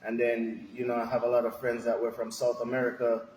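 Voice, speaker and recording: A man's voice: medium pitch, brisk. Guy from jamaica chatting loose on a podcast, lots of you knows, roomy echo with a long tail. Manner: relaxed, rambling, many "you know"s. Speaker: restaurant owner, guest. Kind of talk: podcast interview answers. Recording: echoey room with long reverb, faint noise.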